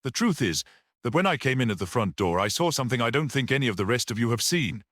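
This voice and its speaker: A man voicing a mild, quietly grave little priest-detective in an audiobook, gentle and matter-of-fact. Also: mid-range voice, expressive, fast, no room sound, studio quality.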